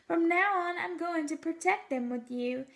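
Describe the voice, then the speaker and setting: Big storybook kangaroo, read by a woman: eager, protective, exclaiming in a raised pitch. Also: normal speed, creaky, fairly bright tone, heavily acted. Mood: eager, won over. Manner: exclamatory, emphatic.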